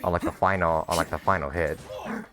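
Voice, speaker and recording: A man's voice: deep voice, steady tone, fast talker. A man doing casual let's-play commentary, easygoing and thinking aloud as he plays. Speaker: gaming streamer. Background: soft game music.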